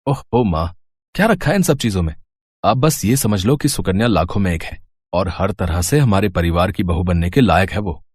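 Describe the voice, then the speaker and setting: A man acts an eager, easygoing young husband in a cartoon tale. His delivery is earnest, with very varied pitch and a close, dry sound. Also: lively intonation, moderate pace, darkish tone, breathy edge, no echo. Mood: hesitant, pleading. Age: young adult. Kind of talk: animated moral story voice acting.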